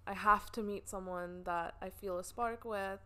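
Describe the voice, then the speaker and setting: A woman's voice: mid-range voice, steady tone, normal speed, pushed and bright. A woman in her twenties, a guest, talking thoughtfully about dating with many hedges and fillers. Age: 20s. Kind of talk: casual chat.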